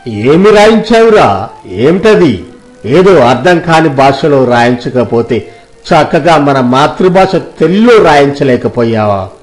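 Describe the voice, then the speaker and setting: A man's voice: mid-range voice, expressive, a little slow, soft background music, lightly acted. A man acting an elderly village father in a moral story, idealistic and loving but scolding, with emphatic, earnest delivery.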